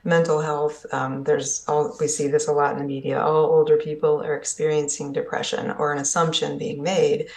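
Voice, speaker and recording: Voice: medium pitch, little pitch movement, moderate pace, hardly any pauses. A conference presenter giving an earnest, explanatory talk on ageism, often checking in with the audience by asking "right?". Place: summit session at an events complex.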